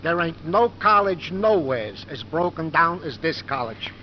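An actor playing a blunt, folksy, wisecracking New cab driver in a 1950s radio drama. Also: male voice, a little high, big pitch swings, measured pace. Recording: muffled, faintly noisy 1950s radio recording.

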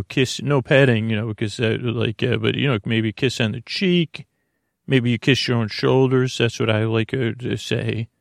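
Man, podcast host, gravelly fry, middle pitch, soothing, halting, stammering, meandering bedtime monologue. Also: no room sound, clean studio sound. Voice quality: creaky. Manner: halting, hesitant, stammering with repeated false starts; lulling, soothing.

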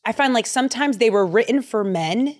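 A young adult woman co-hosting a podcast in casual chat, playful and animated, slipping into little voices. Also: on the high side, fairly quick. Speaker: podcast co-host.

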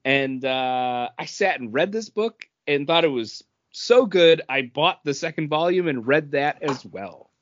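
Higher-voiced man in casual podcast chat. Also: expressive, a little slow, hardly any pauses, dry recording, muffled recording. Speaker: podcast co-host.

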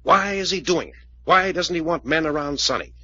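Husky, intense radio detective. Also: male voice, a little high, lively intonation, normal speed, bright, forceful tone, no room sound, heavily acted. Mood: urgent, puzzling. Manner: urgent, insistent, commanding questioner. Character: intense, driven male investigator puzzling out a case. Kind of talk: 1940s radio detective drama acting. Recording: muffled old radio broadcast recording.

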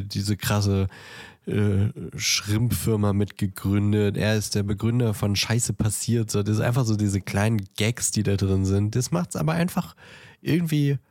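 A man's voice: on the low side, a little mellow, dry recording, studio quality. A man co-hosting a podcast, chatting casually about films and often searching for words. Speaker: podcast co-host.

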